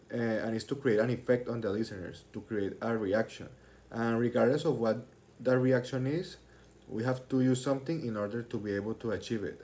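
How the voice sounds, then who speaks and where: A man's voice: mid-range voice, mellow. A young man, a student, delivering a prepared class speech in a formal, expository manner, as if reading from his text. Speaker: student speaker.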